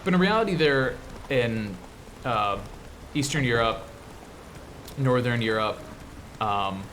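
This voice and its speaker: An American man chats through a video game in a medium-pitched voice. He rambles and explains with enthusiasm, casual throughout. Clear background noise and ambience sit behind him. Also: animated, slow, fairly bright tone. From US Midwest. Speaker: show host, 3D artist. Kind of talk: casual game commentary while playing.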